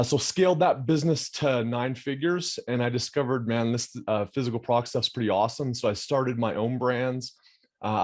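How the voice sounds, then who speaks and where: A man casually introducing himself as a judge, relaxed and enthusiastic with many fillers. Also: mid-range voice. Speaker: judge on a pitch panel.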